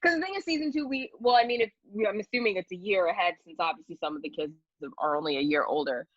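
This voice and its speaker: A woman's voice: medium pitch, animated, fast talker, no room sound, dull audio. A woman interviewer chatting casually, with a playful, giggly manner.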